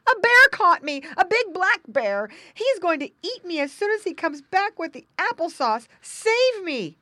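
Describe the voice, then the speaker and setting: A woman's voice: very high voice, huge pitch swings, a little slow, a bit bright, no echo, pitched above the performer's own voice, exaggerated voice. A woman voicing a young boy pig in a children's story, earnest and exclamatory with a squealing tone. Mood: frightened, pleading.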